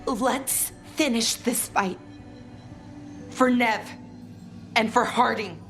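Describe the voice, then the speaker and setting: A woman's voice: a little high, slow, a bit bright, faint music behind. An actress playing a young, tender elf woman in a fantasy game, sincere and wistful in grief. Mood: sad resolve. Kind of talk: dramatic video game acting.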